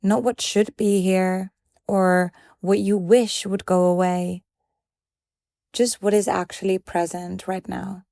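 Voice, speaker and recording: Woman, meditation guide, soft dark timbre, calm measured phrasing, very dry close sound. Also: medium pitch, creaky, dry recording. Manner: calm, gentle, measured delivery with pauses.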